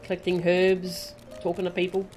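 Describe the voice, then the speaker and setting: A woman's voice: on the low side, completely flat delivery, normal speed, darkish tone, soft background music. A woman giving casual live commentary as she streams a video game.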